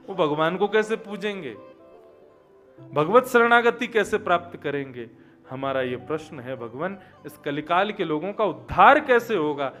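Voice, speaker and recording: A young man giving a devotional sermon, telling stories and chanting verses, quiet one moment and loud and emphatic the next. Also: high voice, expressive, a little slow, soft background music. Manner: storytelling, swings from quiet to loud emphatic exclamations. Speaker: Bhagavat katha preacher.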